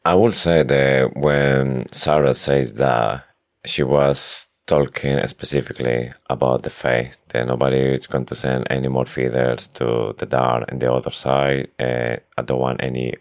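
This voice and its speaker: Very deep-pitched woman podcast co-host. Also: steady tone, a little slow. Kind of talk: casual book discussion podcast.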